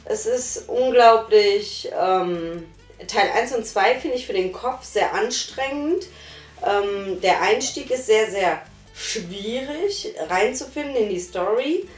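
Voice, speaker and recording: A woman's voice: medium pitch, expressive, slow pace, reverberant, far from the mic, soft background music. A woman giving her opinion in a casual review chat, hesitant with many fillers. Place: small living room, on a sofa. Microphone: far camera.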